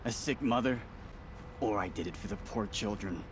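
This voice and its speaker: An actor playing a cynical, unrepentant escaped convict and smuggler in a video game, speaking with a dry, sardonic manner. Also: male voice, on the low side, normal speed, background noise, close to an everyday voice. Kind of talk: dramatic video game acting.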